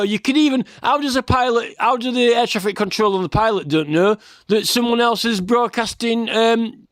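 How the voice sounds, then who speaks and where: High-pitched man, rambling and aggrieved. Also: a bit bright, dry recording, clean studio sound. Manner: aggrieved, insistent, repeatedly tags points with "right?". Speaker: complainant campaigning about aviation safety. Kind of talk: rambling informal spoken monologue.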